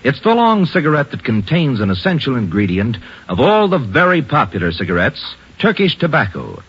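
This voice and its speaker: A man's voice: mid-range voice, wildly swooping pitch, brisk, slightly rough, dull audio. A man reading a commercial in a persuasive, old-fashioned radio ad style. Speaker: commercial announcer. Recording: old radio broadcast with music.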